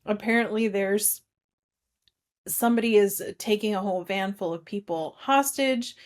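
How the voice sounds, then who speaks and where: A woman co-hosting a casual film discussion, recapping in an informal, conversational way with animated retelling. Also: mid-range voice, normal speed. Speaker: podcast co-host.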